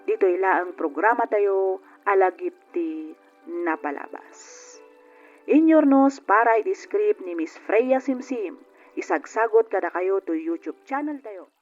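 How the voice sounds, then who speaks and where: A woman's voice: medium pitch, animated, a little slow, darkish tone. A woman hosting and narrating a radio drama programme in a scripted style. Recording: dull lo-fi radio recording. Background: faint music.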